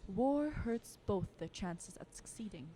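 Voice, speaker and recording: A woman's voice: medium pitch, lively intonation, measured pace, dark tone, slight background noise, lightly acted. A woman playing a scheming, taunting vampire aristocrat in an audio drama, condescending, drawling and teasing. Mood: mocking, sly.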